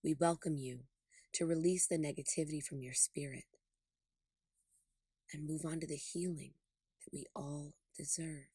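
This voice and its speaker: A woman hosting a guided meditation in a breathy, soothing voice, speaking deliberately in short phrases with pauses. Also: low voice, steady tone, dark tone, no echo. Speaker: podcast host and meditation guide.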